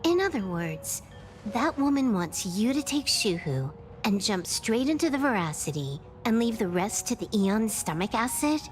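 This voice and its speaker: A woman's voice: fairly high pitch, sing-song, normal speed. A woman voicing a brash, defiant young female fighter in a video game, blunt and confrontational, over music. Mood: defiant, challenging.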